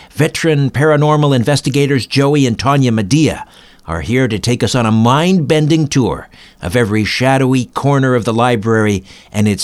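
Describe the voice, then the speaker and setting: A man hosting a show, reading scripted introductions and questions in a presenter's style. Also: mid-range voice. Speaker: podcast and radio host. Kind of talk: scripted host narration, ad reading and interviewing.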